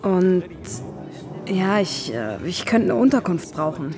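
An actress playing a guarded young woman on the run, speaking haltingly in a naturalistic radio drama. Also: lowish voice, very animated, music in the background. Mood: tentative.

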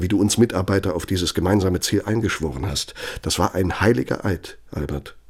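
An embittered old right-hand man in a radio drama monologue. His voice is very low and gravelly. He speaks deliberately and confidingly, with sardonic, pointed pauses, over a light bed of music. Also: full character voice. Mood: reproachful. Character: embittered loyal old aide of a Nazi architect, reminiscing reproachfully. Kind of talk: German radio play monologue.

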